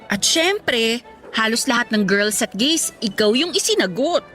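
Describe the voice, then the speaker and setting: A woman's voice: fairly high pitch, animated, a little slow, a bit bright, faint music behind. An actress playing a chatty, teasing woman in her twenties in a radio drama. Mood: gossipy, teasing. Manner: chatty, teasing, casual conversational. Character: playful teasing young female office mate, age twenty-three. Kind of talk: Tagalog radio drama dialogue.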